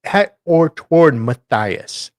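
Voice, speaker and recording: A man's voice: fairly high pitch, expressive, takes it slowly, no echo. Man reading formal text aloud, dry sound. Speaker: co-host reading a legal filing aloud.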